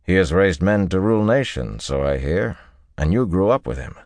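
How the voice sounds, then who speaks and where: A man voicing a calm, courteous nobleman officer in an audiobook, speaking politely and with measured assurance. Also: sits low, dry recording, pitched above the performer's own voice. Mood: matter-of-fact. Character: calm, courteous nobleman soldier, loyal second-in-command.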